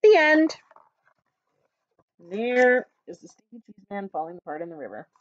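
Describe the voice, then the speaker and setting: A woman in her thirties reading a picture book aloud to schoolchildren with expressive storytelling. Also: medium pitch, moderate pace, no echo. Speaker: school staff reading to pupils. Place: small book-lined room at home. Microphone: webcam at arm's length. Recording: dull, lo-fi webcam sound.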